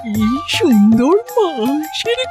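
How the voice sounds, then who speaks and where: A woman voicing a mischievous old grandmother, the younger sister, with a squeaky, whiny, teasing voice. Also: very animated, slow pace, heavily acted. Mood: gloating. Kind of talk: cartoon voice acting. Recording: cartoon soundtrack with music.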